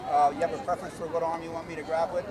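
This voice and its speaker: A man's voice: on the high side, level tone, rapid-fire, a loud crowd. A man teaching self-defense as an instructor, informally talking through each move outdoors. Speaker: martial arts instructor. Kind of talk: hands-on self-defense demonstration. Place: outdoors on public concrete memorial steps. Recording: outdoor city noise, people around.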